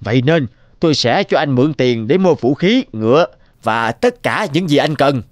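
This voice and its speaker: A man's voice: medium pitch, very animated, moderate pace, dry recording, studio quality, lightly acted. A man voicing a genial but shrewd stout merchant in a cartoon. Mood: encouraging.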